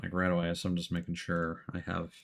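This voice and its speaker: Lower-pitched man streaming a video game. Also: completely flat delivery, quick pace, vocal fry. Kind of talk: casual live game commentary. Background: game music.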